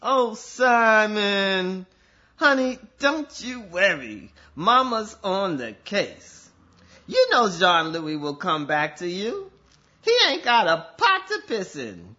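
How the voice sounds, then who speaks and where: A man's voice: high voice, sing-song, slow, pushed and bright, dull audio, exaggerated voice. A man playing a sassy, mothering, camp friend in a stage comedy, drawling endearments. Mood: soothing, sassy.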